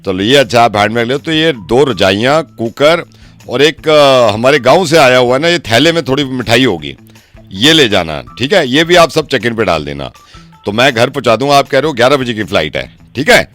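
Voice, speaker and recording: A man on a prank phone call playing a chatty middle-aged uncle who rambles insistently with hesitant pauses. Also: medium pitch, lively intonation, fairly bright tone, soft background music, lightly acted.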